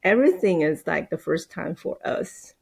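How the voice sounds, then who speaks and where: A woman, a Mandarin speaker, chatting on a podcast in halting, hesitant English. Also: fairly low pitch, sing-song, vocal fry, darkish tone, slightly rough. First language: Mandarin Chinese. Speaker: podcast guest, team manager. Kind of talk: casual podcast conversation.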